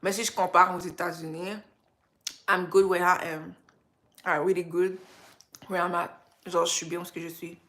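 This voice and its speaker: A young woman with a Québécois French accent chats with her livestream viewers. Her voice sits a little low and sounds tired, and she talks in a relaxed, unhurried way, mixing English phrases into her French. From Canada. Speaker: livestream host. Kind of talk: casual livestream chat with viewers.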